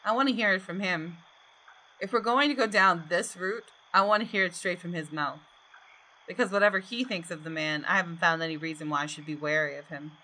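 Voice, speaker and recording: A woman's voice: on the low side, a little fast, sharp tone, rough recording. A woman hosting a gaming video, reading the story narration aloud expressively with casual asides, close to the microphone.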